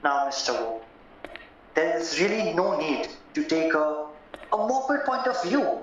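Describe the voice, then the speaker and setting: A man acting a courteous, formal solicitor in a radio play, deliberate and a little hesitant. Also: a little high, expressive, slight background noise. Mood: calm, reassuring. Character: courteous, measured English male solicitor, formal and reassuring. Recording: roomy, distant, muffled, music underneath.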